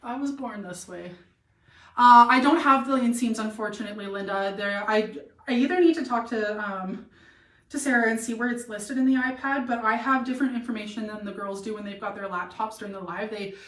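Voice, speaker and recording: A woman's voice: fairly high pitch, brisk, a bit bright. A woman chatting enthusiastically on a casual live try-on stream, full of fillers as she answers viewers, her voice a bit worn. She sounds like she is in a roomy, echoey shop, standing back from the microphone. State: voice getting tired. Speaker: boutique plus-size model hosting a live try-on stream. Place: clothing boutique. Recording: echoey room, slightly distant mic.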